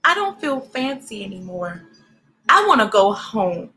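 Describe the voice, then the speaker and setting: An animated, encouraging woman hosting a storybook read-aloud, speaking directly to children in an expressive way. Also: mid-range voice, measured pace, soft background music.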